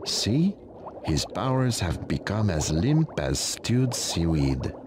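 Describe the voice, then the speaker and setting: Very deep, wise cartoon guide. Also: male voice, big pitch swings, takes it slowly, noisy background, exaggerated voice. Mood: dry scorn. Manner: formal, encouraging. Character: solemn, wise, reassuring male guide figure. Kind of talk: cartoon video game voice acting.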